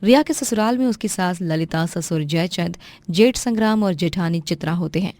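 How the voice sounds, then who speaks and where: A woman's voice: fairly low pitch, normal speed, darkish tone, dry recording. A woman reading a scripted moral story as its narrator.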